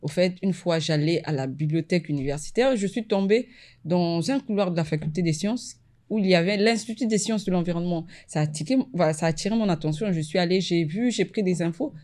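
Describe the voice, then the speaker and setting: A woman, an environmental lawyer from the Republic of the Congo, answering interview questions in a measured, casual way. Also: fairly low pitch, mellow. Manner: measured, repeats "effectivement" often.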